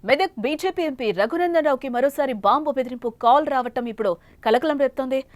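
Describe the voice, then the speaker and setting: High-pitched woman reading breaking news. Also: a little fast, over loud music. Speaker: television news anchor. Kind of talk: breaking news reporting, partly improvised.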